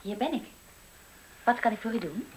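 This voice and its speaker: Young servant girl: bright-eyed charmer, eager, coaxing, high animated voice, 1970s radio drama. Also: moderate pace, husky, rough recording. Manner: playful, coaxing, exclaiming. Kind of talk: 1970s Dutch radio play acting.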